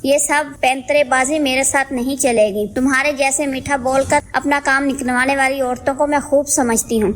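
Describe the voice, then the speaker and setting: A woman playing a jealous elder sister-in-law and mother in an animated story, sarcastic, scolding and accusatory. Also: sits high, moderate pace, hardly any pauses, slight background noise, close to an everyday voice. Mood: angry, accusing. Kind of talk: Hindi animated moral story dialogue.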